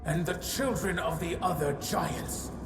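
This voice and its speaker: An actress playing an ancient giantess in a fantasy game, solemn, grieving and fiercely maternal, speaking gravely and formally. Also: female voice, on the low side, a little slow, lightly acted. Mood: bitter, accusing. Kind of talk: dramatic fantasy game dialogue. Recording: echoey voice over loud music.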